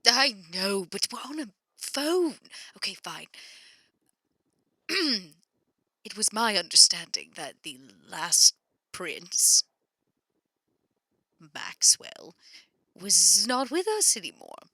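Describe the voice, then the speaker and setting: A woman playing an exasperated, sarcastic vampire businesswoman in an improvised roleplay, with a halting, dry delivery. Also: medium pitch, very animated, bright, forceful tone, breathy edge, no echo, full character voice. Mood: guarded, insistent. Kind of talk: improvised tabletop roleplay, phone conversation.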